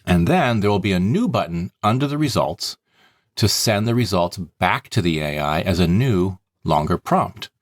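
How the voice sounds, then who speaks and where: A man's voice: sits low, lively intonation, normal speed, no echo, clean studio sound. A man hosting a podcast, talking conversationally and explaining things plainly, step by step. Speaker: podcast host and story producer.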